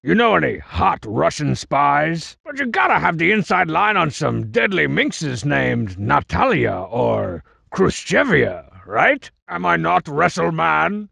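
An actor playing a cocky, boastful, juvenile cartoon villain for a comedy video game, with a raspy growl and mocking, slangy tough-guy delivery. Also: a little high, very animated, takes it slowly, hardly any pauses, sharp tone, dry recording, heavily acted. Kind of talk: exaggerated comic video game character acting.

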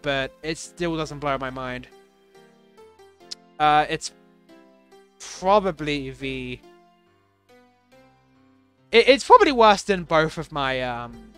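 A young man with a bright, fairly high voice rambles through commentary on levels he built. He stumbles, restarts and sells his own work short. Faint game music plays under his voice. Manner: rambling, self-deprecating, many fillers and restarts. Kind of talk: casual gameplay commentary.

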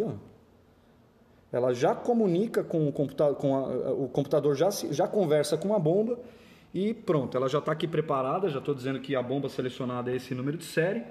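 A Brazilian man demonstrates equipment informally. His voice sits a little high for a man and has a soft, mellow tone. He explains things step by step, as a practical instructor would. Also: slight background noise. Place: indoor office or lab.

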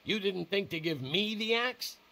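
An actor playing a bitter, hostile older father in a video game, barking insults in a gruff, raspy voice. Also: sits high, animated, normal speed, sharp tone, heavily acted. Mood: hostile, irritated.

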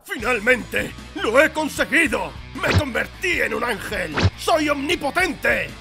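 A man's voice: very high-pitched, very animated, slow pace, full character voice. A man voicing a vain, grandiose, newly powerful warrior in a cartoon fandub, with theatrical delivery. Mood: triumphant. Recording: clear music behind the voice.

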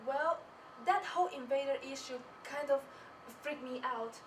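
A woman's voice: high-pitched, normal speed. A teenage young woman giving a scripted stage presentation as a student, with a theatrical, rehearsed delivery. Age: teens. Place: small presentation stage indoors. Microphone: far camera. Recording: noisy room, distant microphone.

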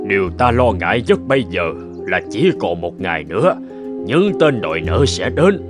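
A frail old father in a cartoon fairy tale. He speaks with gentle, loving sorrow and constant worry, over clear background music. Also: lowish voice, big pitch swings, moderate pace. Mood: sorrowful. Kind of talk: animated fairy-tale acting.

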